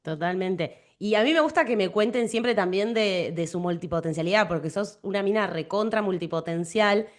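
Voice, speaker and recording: A woman's voice: medium pitch, normal speed, few pauses. Argentine woman hosting a podcast. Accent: Argentine Spanish. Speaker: podcast host and interviewer. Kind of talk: podcast presenting and interviewing.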